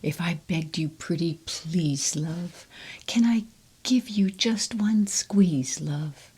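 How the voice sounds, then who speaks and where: Lovesick male turtle, pleading whisper, woman reading, breathy, unhurried. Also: lowish voice, a little mellow, heavily acted. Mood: tender pleading.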